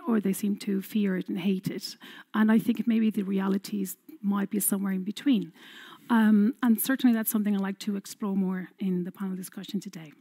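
A woman's voice: medium pitch, dark tone. Irish woman moderating a panel. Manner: upbeat, polite interjections. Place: conference stage.

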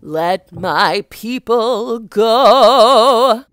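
Woman as a slow, emphatic talking burning bush. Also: on the high side, lively intonation, fairly bright tone, no room sound, clean studio sound, full character voice. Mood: booming, commanding. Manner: emphatic, coaxing. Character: God as a burning bush, bossy, encouraging coach. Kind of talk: children's puppet show. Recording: noticeable background noise.

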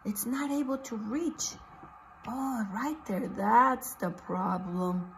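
A woman's voice: fairly high pitch, slow pace, creaky, a little hiss or hum. A middle-aged woman teacher reading a picture book aloud, expressively, putting questions straight to young children. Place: indoors, small room with posters and books.

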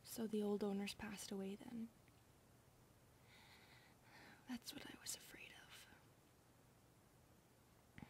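A woman playing a polite young centipede maid, a protective elder sister, hesitant and apologetic, soft, breathy and often whispering in an ASMR roleplay. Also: medium pitch, fairly even intonation, brisk, slight background noise, natural voice. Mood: timid, polite. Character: shy, proper twenty-one-year-old centipede maid, protective elder sister.

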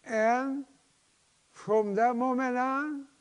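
A man in his seventies, a pastor preaching a personal testimony in an emphatic, halting, folksy way. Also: extremely high pitch, fairly even intonation, clear, resonant tone. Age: 70s. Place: large church sanctuary, at a lectern. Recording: long hall reverb.

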